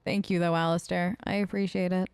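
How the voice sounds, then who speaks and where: A woman's voice: fairly low pitch, little pitch movement, a little fast, creaky, darkish tone, smooth, dry recording. A woman improvising casual, slightly hesitant conversational replies as her character in a game role-play. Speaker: gamer voicing her player character.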